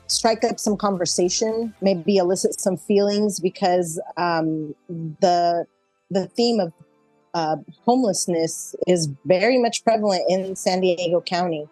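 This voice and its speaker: A woman's voice: medium pitch, unhurried, dark tone, no echo, soft background music, low-quality recording. A middle-aged woman actor chatting casually in an interview, speaking in a reflective, conversational way.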